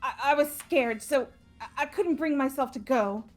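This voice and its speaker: Woman voicing a nervous stammering teenage girl, high-pitched. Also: normal speed, soft background music, lightly acted. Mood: anxious, defensive. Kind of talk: hobby video game voice acting.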